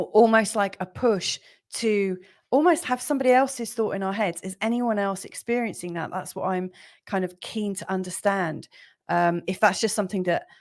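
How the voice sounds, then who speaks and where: Woman host, croaky voice, chatty and rambling, mid-pitched, home live-stream chat. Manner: chatty, rambling, frequent fillers and laughs. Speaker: livestream host.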